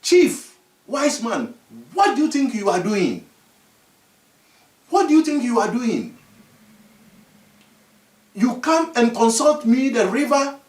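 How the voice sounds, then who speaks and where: A man's voice: very high voice, expressive, a little slow, echoey room, far from the mic. A man doing a stern river spirit. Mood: commanding. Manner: stern, scolding, issuing orders. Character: commanding river spirit, a hidden youth posing.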